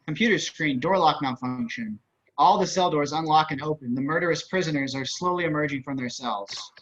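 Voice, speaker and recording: A man's voice: on the high side, a little fast, fairly bright tone. A man narrating stage directions at an online table read, with wry, casual asides. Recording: dull video-call audio.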